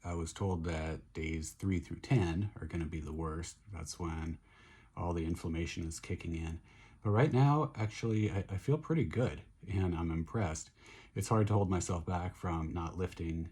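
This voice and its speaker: A middle-aged man with a hoarse voice, chatting casually in a video diary, upbeat and relaxed with many fillers and restarts. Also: low voice, slight background noise. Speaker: vlogger recovering from surgery.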